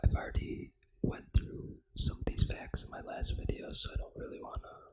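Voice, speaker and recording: A video game ASMR host delivers everything in a hushed whisper. The voice is breathy and fully unvoiced, with no normal speaking tone. The reading is soft, deliberate and unhurried.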